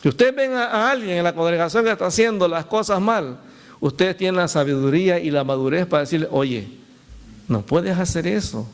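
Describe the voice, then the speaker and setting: Male pastor, high-pitched, conversational preaching with asides to the flock. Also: lively intonation, brisk. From El Salvador. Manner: storytelling with laughs and direct appeals to the congregation. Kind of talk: sermon.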